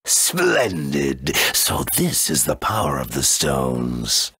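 A grandiose dark lord from a video game, with an extremely low, husky voice. He speaks slowly and theatrically, with deliberate menace, in a very dry studio sound. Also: wildly swooping pitch, no room sound, heavily acted. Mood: gloating. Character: grandiose dark male villain bent on wiping out the world.

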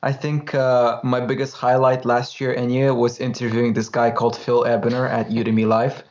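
A young man chats freely as a guest. His pitch is mid-range and his manner is informal and easygoing. The audio is a little dull, as over a video call. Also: level tone, moderate pace, a little mellow. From Romania. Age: young adult. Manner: chatty, laughs easily, loose and informal. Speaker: guest, course creator. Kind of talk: casual interview storytelling. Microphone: headphones, video call.

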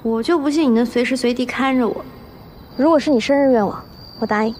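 A young actress playing a playful, stubborn young food lover in a TV romance drama. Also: female voice, fairly high pitch, fast, a little mellow, slight background noise, lightly acted. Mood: teasing. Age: young adult.

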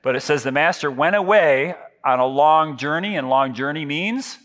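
A man's voice: fairly high pitch, normal speed, a bit bright. Conversational pastor in his fifties. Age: 50s. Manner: conversational, self-deprecating, frequent restarts and repetitions. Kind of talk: sermon. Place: large church stage with lit backdrop. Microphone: headset mic.

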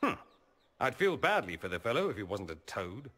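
A man's voice: medium pitch, very animated, a little fast, pushed and bright, long reverb tail. An actor playing a witty, flirtatious male mage who talks in a dry, teasing, sardonic way. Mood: teasing, wry. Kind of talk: video game voice acting.

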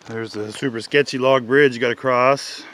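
A man's voice: mid-range voice, normal speed. A man in his fifties casually narrating a rainy forest hike, curious and musing aloud, on a handheld phone outdoors. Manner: relaxed, curious, musing aloud while walking. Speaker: vlogger. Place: wet forest trail outdoors, rain.

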